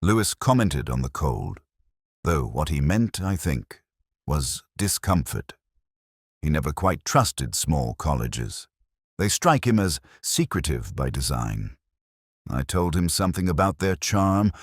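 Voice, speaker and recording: An older man playing a melancholy, cerebral detective, narrating a scripted mystery in a measured, reflective way. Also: a bass voice, very animated, husky, no room sound, studio quality, exaggerated voice. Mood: uneasy, reflective. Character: melancholy, cerebral, brooding male English police detective.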